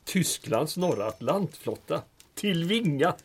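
A man's voice: fairly high pitch, big pitch swings, breathy edge, heavily acted. A man playing a fretful small-town mayor.

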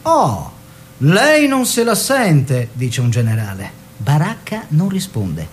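Un istrionico uomo d'arte. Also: male voice, huge pitch swings, takes it slowly, exaggerated voice. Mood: ironic storytelling. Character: theatrical man of the arts world, declaiming and teasing. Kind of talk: theatrical narration and dialogue for radio. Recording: radio play with faint noise and rumble.

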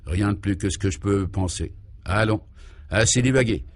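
A man voicing a troubled governor in a radio serial, grave and anxious, thinking aloud in halting, solemn phrases. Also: lowish voice, natural voice. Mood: weary, foreboding.

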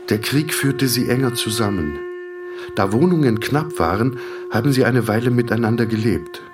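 An actor playing a sober, detached storyteller, narrating a literary radio drama in a measured manner. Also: male voice, medium pitch, over music, close to an everyday voice.

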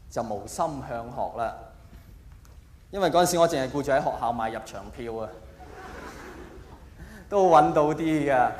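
High-pitched male stand-up comic, echoey hall. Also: a little mellow. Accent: Hong Kong Cantonese. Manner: colloquial, exaggerated, rhetorical questioning. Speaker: stand-up comedian. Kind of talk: stand-up comedy monologue. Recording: echoey hall, faint background noise.

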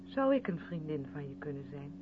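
A woman's voice: low voice, big pitch swings, brisk. An actress playing a strict, earnest, insistent woman in a radio play, with a probing and emphatic way of speaking. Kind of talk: 1970s Dutch radio play acting. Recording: noisy, tinny band-limited old radio recording.

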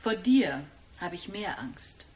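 A woman's voice: fairly low pitch, very animated, slow, darkish tone, a little hiss or hum, low-quality recording. An actress playing a scornful woman leaving her older partner in a German radio drama, dismissive and pointed. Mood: cool, scornful.

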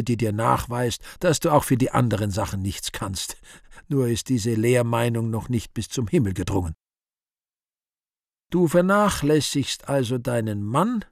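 An actor playing an urbane, sardonic Devil in a radio play, speaking with deliberate irony and mock courtesy. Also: male voice, fairly high pitch, creaky, no room sound, clean studio sound, heavily acted. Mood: mocking, ironic. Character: urbane, sardonic Devil interrogating dead sinners. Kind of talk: theatrical radio play monologue.

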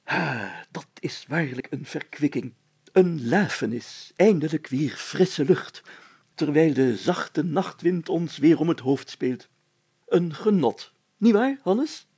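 A man's voice: a little high, expressive, slow, darkish tone, breathy edge, full character voice. A man voicing a pompous, self-satisfied, flustered mayor in a story, stammering and speaking in grand, bossy phrases. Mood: pleased, pompous. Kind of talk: dramatised story reading.

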